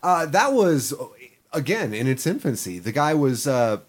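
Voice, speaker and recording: A man, a guest on a podcast, telling stories in relaxed, rambling chat with many restarts. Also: medium pitch, measured pace. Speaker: podcast guest, internet radio host.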